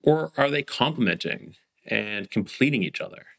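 Low gravelly guy narrating a history show, asks questions to set things up. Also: lively intonation, moderate pace, fairly bright tone, rough recording. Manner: poses framing questions to the listener. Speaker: host. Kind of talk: scripted narration.

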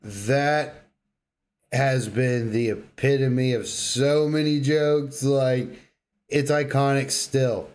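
A man's voice: mid-range voice, steady tone, slow, darkish tone, clear, resonant tone. A man co-hosting a casual gaming podcast, bantering in informal slang with emphatic agreement. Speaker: podcast co-host.

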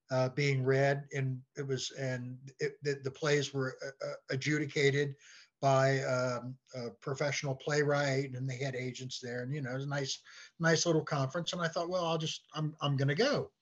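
An American man chatting casually as an interview guest, telling anecdotes in a halting way and often repeating words. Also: medium pitch. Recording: dull, lo-fi call-like audio.

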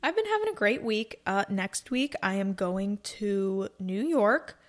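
A woman's voice: mid-range voice, lively intonation, unhurried. A woman in her twenties hosting a casual solo podcast, chatting in a rambling, upbeat way from a cozy room. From North Carolina, US. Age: 20s. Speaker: podcast host.

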